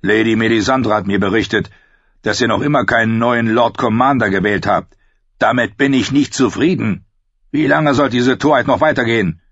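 A man's voice: mid-range voice, normal speed, a bit bright, slightly rough, dry recording, pitched above the performer's own voice. A man voicing a cold, impatient king who speaks curtly and commandingly, in a slightly dull audiobook recording. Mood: stern. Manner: curt, commanding.

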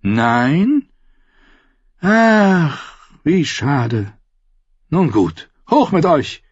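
A man voicing a calm outlaw singer in an audiobook, teasing and falsely courteous. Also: a little high, extremely slow, slightly rough, dry recording, dull audio, pitched above the performer's own voice, full character voice. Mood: wryly mocking. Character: wry outlaw singer, mockingly polite and calm. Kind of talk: audiobook character voice.

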